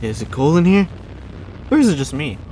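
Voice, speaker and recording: A man playing a cocky, wisecracking young male superhero sidekick in an audio drama, brash, sarcastic and excitable. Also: fairly high pitch, moderate pace, a little hiss or hum, rough recording. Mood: wry.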